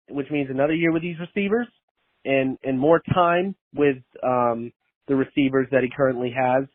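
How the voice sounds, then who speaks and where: A man leaving a casual voicemail as a fan, halting, frustrated and pleading, over a phone line. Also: a little high, no room sound. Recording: muffled, dry phone voicemail.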